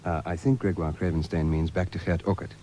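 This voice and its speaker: A man's voice: deep voice, steady tone, brisk, dark tone, slight background noise, natural voice. A man playing a polite, businesslike studio announcer in a 1970s radio comedy.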